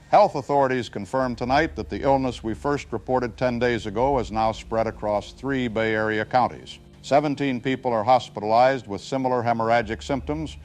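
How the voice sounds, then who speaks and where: A man's voice: mid-range voice, close to an everyday voice. A man playing a sober, authoritative television news anchor in a scripted audio drama. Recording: music bed under the voice.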